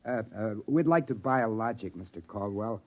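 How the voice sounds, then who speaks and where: A muffled old radio recording of a mild, apologetic father, acted by a man. His voice is on the low side, and he speaks politely and hesitantly. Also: expressive, normal speed, lightly acted. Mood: meek, apologetic. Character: meek, apologetic father, newcomer from the backwoods. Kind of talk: 1950s radio acting. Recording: dull vintage 1950s radio recording.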